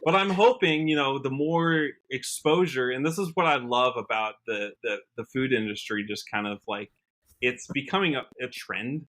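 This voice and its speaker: A man with an American accent and a bright, forward voice. He talks casually as a podcast guest, earnestly circling his point, stopping and rewording as he goes. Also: medium pitch, low-quality recording. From Washington state, USA. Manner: halting, earnest, trails off and rephrases often. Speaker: podcast guest, country singer. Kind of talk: casual interview chat.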